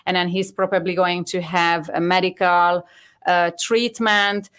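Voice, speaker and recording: Woman, Hungarian accent, native Hungarian speaker, low-ish voice, earnest, halting testimony. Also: little pitch movement, a bit bright, smooth. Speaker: guest. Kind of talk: personal testimony on a talk show.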